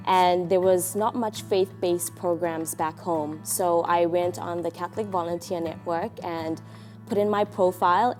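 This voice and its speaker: A woman's voice: fairly low pitch, darkish tone. A woman from South Africa with a South African accent, earnestly recounting her volunteer year. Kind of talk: testimonial interview.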